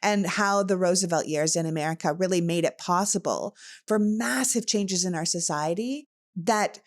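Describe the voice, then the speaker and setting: A woman's voice: on the low side, moderate pace. A woman from Canada hosting a podcast, talking politics in a passionate, emphatic way. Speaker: podcast host. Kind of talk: casual political talk.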